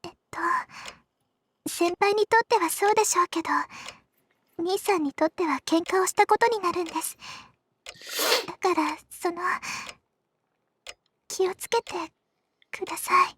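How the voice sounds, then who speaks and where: Very high-pitched shy schoolgirl. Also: fast, pauses often, a little hiss or hum. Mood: timid, worried. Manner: hesitant, polite, gentle. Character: shy, soft-spoken, earnest schoolgirl underclassman, worried and polite. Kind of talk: Japanese visual novel voice acting. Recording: music behind the voice.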